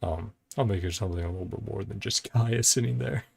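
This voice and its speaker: Gravelly, husky man giving chatty reaction commentary. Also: lowish voice, quick pace, a little mellow. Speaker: host of a video reaction and ranking series.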